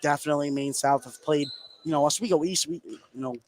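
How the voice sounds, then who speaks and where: Higher-voiced man calling live basketball. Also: fast talker. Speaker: play-by-play radio sports announcer. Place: indoor basketball gym, live radio broadcast. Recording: clear background noise, live gym ambience.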